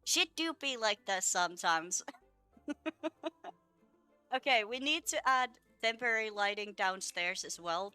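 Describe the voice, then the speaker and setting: A young woman with a bright, crisp voice that sits on the low side, chatting loosely through a game build. She is a little under the weather and rambles along with plenty of fillers and the odd swear. Soft music plays quietly underneath. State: a little sick. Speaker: gaming video creator. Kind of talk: casual gameplay commentary.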